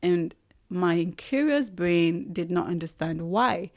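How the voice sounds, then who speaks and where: A young woman with a Ghanaian accent hosting a solo podcast, talking in a thoughtful, earnest way and pausing to find her words. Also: on the low side, expressive. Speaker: podcast host. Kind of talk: reflective solo podcast monologue.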